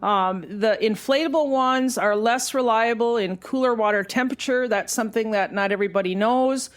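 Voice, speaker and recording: A woman's voice: a little high, moderate pace. A woman hosting a casual podcast interview, asking questions in a hesitant way with fillers. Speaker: podcast host.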